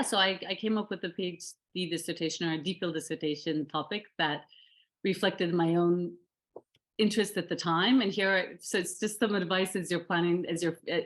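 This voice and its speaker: Middle-aged woman scholar, lowish voice, thoughtful and searching, full of "um" and restarts. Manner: thoughtful, many fillers and restarts. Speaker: researcher. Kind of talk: reflective interview talk about her academic path.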